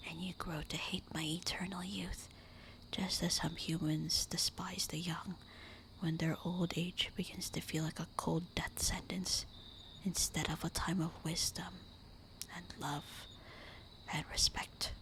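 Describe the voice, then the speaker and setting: A woman voicing a tender, melancholy immortal vampire wife in a breathy, intimate whispered ASMR roleplay. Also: fairly low pitch, flat delivery, a bit bright, poor audio. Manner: slow, intimate, wistful murmuring.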